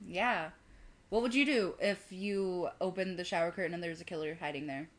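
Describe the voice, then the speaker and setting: A woman's voice: lowish voice, a little fast. A woman co-host shares a personal story in a casual, conversational way. Her humor is dry and self-deprecating, and she tells it as if chatting to a friend. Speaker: video co-host.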